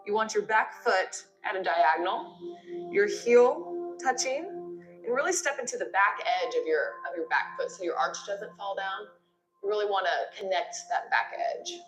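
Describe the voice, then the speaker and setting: A woman's voice: mid-range voice, animated, moderate pace. Echoey woman yoga instructor over music. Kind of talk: guided yoga class instruction, step-by-step cues. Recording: roomy echo with music behind.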